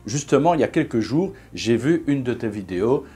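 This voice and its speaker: Vlogger in his fifties, chatty and halting, mid-pitched voice. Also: animated, warm tone, breathy edge. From Belgium. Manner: chatty, hesitant with frequent fillers and restarts. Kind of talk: casual vlog monologue.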